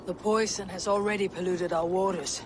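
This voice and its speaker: A blunt Viking warrior acted by a man speaks solemnly in a video game. The voice is high for a man, fierce and loyal in temper. Water ambience is clear behind it. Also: fairly even intonation, normal speed, a little hiss or hum, close to an everyday voice. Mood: grim, resolute. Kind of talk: dramatic video game acting.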